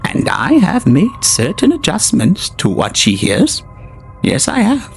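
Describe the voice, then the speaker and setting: A man's voice: sits high, wildly swooping pitch, measured pace, creaky, soft background music, voice pushed up, heavily acted. A man voicing a sinister, theatrical male manipulator with a silky, mocking delivery in an audio drama. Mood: menacing.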